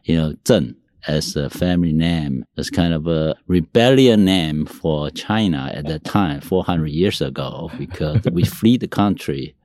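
Very deep-voiced older Taiwanese man. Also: unhurried, few pauses, darkish tone, no echo, clean studio sound. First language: Mandarin and Taiwanese. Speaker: company CEO, interview guest. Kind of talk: casual interview storytelling.

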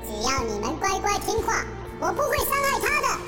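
A woman's voice: very high voice, huge pitch swings, normal speed, roomy sound, loud music behind the voice, heavily acted. A woman playing a menacing, bossy hidden villain in a children's radio drama. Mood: threatening.

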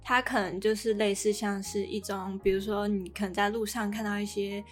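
A Taiwanese-accented woman talks as a podcast co-host with music behind her. She has a middle-pitched voice and a casual, conversational way of discussing things. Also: little pitch movement, a little fast.

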